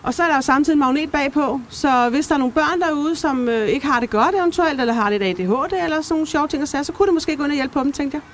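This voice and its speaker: High-voiced chatty woman at a market. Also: expressive, hardly any pauses. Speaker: market stallholder being interviewed. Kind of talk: casual live interview chat. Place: covered market stall. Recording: live market interview with background noise. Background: market ambience.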